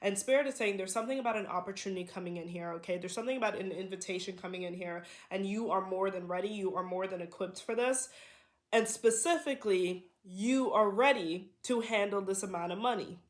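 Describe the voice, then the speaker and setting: A woman's voice: medium pitch, a little fast. A woman with a nasal voice, a little under the weather, giving a spiritual card reading to her viewers in a reassuring way, often checking in with "okay?". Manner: reassuring, repeats "okay?" and "here". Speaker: tarot reader.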